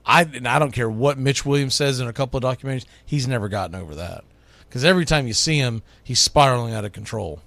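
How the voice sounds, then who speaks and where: A man's voice: mid-range voice, fast talker, a bit bright, no room sound. A man hosting sports radio, talking informally and emphatically through an opinionated countdown in a conversational way. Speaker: sports radio host, baseball play-by-play announcer.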